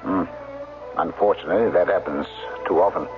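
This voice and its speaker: An actor playing an evasive, nervous husband with a secret, hesitant and stammering, in an old-time radio drama. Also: male voice, sits low, fairly quick, music in the background, natural voice. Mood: evasive, uneasy. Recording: dull, noisy vintage radio recording.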